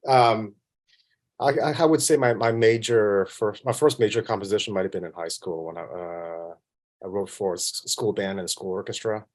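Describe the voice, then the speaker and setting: Low-voiced Canadian man, modest and halting. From Vancouver, Canada. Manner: modest, self-deprecating, halting with light chuckles. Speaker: interview guest, film composer. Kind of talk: casual conversational interview.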